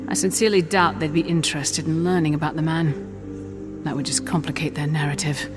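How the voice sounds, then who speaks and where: A woman voicing a serious, principled commander in a video game, earnest and measured. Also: low voice, little pitch movement, close to an everyday voice. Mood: firm, cynical. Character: serious, principled commander hero, firm but compassionate. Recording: game music underneath.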